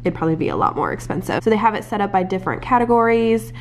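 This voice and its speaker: A woman's voice: mid-range voice, big pitch swings, very fast. A young American woman and mother chatting casually to camera in a rambling, self-correcting way, sounding stuffy and congested from a cold. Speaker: family vlogger, mother of four. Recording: echoey room with faint noise.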